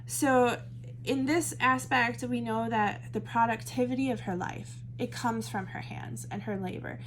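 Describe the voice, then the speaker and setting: A woman's voice: fairly high pitch, steady tone, measured pace, a little hiss or hum. Chatty Midwestern woman teaching Bible study. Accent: Midwestern American. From American Midwest. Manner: chatty, thinks aloud, many fillers and self-corrections. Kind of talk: casual teaching talk to camera.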